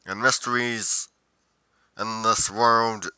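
Man playing a slow, brooding monster investigator. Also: fairly low pitch, steady tone, bright, forceful tone, smooth, dry recording, heavily acted. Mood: brooding, musing. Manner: slow, deliberate, pausing mid-sentence, musing. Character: brooding, solitary male monster investigator musing on mysteries. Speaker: roleplay performer. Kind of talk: dramatic roleplay monologue.

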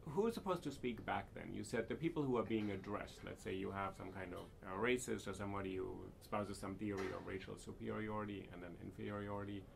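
A man's voice: fairly low pitch, fast talker, gravelly, few pauses. A man hosting a podcast interview, asking probing questions and thinking aloud. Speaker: podcast host. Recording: faint background noise.

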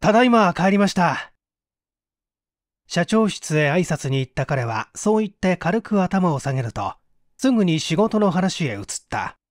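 Male storyteller for mystery audiobook: measured, expressive, wide intonation, dry recording. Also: fairly high pitch, dry recording. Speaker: audiobook reader. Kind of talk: scripted storytelling narration of a Japanese mystery novel.

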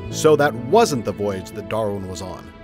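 An actor playing an earnest, ambitious young reporter in an audio drama, polite and questioning. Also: male voice, fairly low pitch, expressive, normal speed, over music, lightly acted. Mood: earnest doubt. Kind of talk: family audio drama acting.

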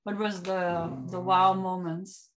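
A middle-aged woman hosting a podcast, asking her guest questions over a video call in a searching, halting way. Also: lowish voice, level tone. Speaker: podcast host. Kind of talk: interview questions.

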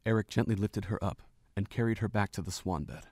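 A man narrating a fan audio drama in an even, measured reading voice. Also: on the low side, no echo, studio quality. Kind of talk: scripted story narration. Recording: home narration with faint music.

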